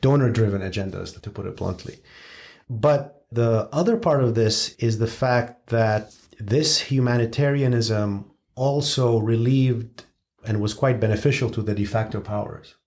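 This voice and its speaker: A man from Syria giving long, measured, analytical answers as a guest expert, with frank asides. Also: mid-range voice, a little mellow.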